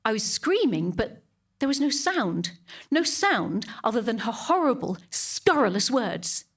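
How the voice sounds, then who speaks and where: Woman acting a defensive british church lady, halting and indignant, audio drama monologue, voice swings all over. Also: on the high side, very animated, slightly rough, full character voice. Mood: grief-stricken. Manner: indignant, halting, repeats phrases for emphasis. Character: devout, defensive, vindictive churchgoing woman, hiding her guilt. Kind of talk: dramatic monologue to a police officer.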